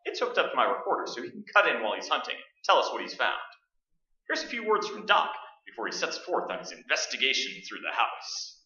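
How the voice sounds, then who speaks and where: A man in a staged radio play, playing a brash, skeptical, excitable radio host narrating a ghost hunt aloud. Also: mid-range voice, brisk, exaggerated voice. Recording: roomy stage recording, distant, dull.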